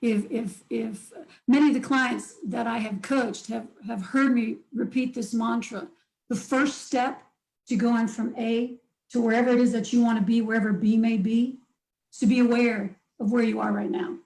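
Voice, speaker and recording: A woman's voice: a little high, steady tone, normal speed, gravelly, darkish tone. A middle-aged woman, a life coach, talking about herself and her beliefs in an upbeat, emphatic way, often in short listed phrases. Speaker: life coach, interview guest. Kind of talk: casual interview talk. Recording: echoey room.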